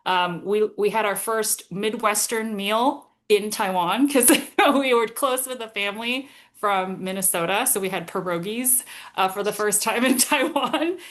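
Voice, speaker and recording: A woman in her forties, raised in Singapore, talks with a General American accent. She sounds reflective and conversational, laughing lightly as she goes. Her voice sits at an ordinary middle pitch. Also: unhurried. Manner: warm, reflective, laughs often, some hesitations. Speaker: guest, consultancy founder. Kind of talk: conversational interview.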